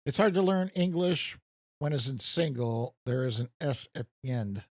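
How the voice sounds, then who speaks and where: Animated, chatty American man streaming a game. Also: medium pitch, moderate pace, no room sound, clean studio sound. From United States. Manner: rambling, self-deprecating, chuckles at himself. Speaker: gaming streamer. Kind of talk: casual live stream commentary.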